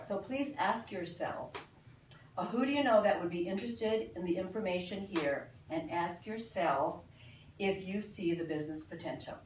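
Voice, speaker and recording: An older woman speaks earnestly to a small meeting, her lowish voice stopping often for ums and uhs. She sounds far from the mic in an echoey room with a faint hum of ambience behind her. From Philippines. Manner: halting, many fillers, earnest. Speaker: presenter at a sales meeting. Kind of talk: informal presentation.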